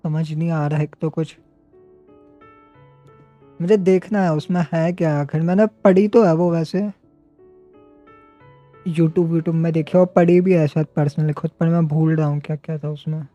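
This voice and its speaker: An Indian-accented man, native in Hindi, chats casually over live gameplay. He talks extremely fast in a mellow tone, recorded dully with faint game music behind him. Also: fairly high pitch, fairly even intonation. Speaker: game livestreamer. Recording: dull muffled stream audio, faint music.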